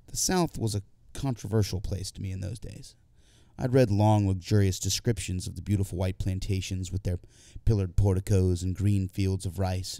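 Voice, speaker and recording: A man's voice: lowish voice, creaky, warm tone, no echo. A man reading a scripted first-person literary story as an audiobook narrator.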